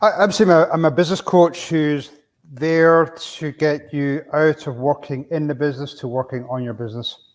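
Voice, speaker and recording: A man's voice: a little high, steady tone, moderate pace. A middle-aged man from Scotland with a Scottish accent, talking casually as a guest on a business podcast, peppering his stories with "you know". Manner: plainspoken, storytelling with frequent "you know".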